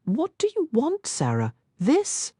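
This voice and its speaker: Brooding Cockney rogue, strained commanding delivery, read by a woman narrator, pitched up, dry studio read. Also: big pitch swings, unhurried, darkish tone, no echo, heavily acted. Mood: taunting. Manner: strained, commanding, hoarse-edged. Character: rough, brooding gaming-club owner, adult man.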